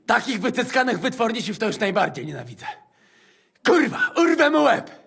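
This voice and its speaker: An actor playing a hot-headed, foul-mouthed male mercenary with a raspy, gravelly voice and a crude, angry way of muttering. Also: extremely high pitch, sing-song, brisk, fairly bright tone, full character voice.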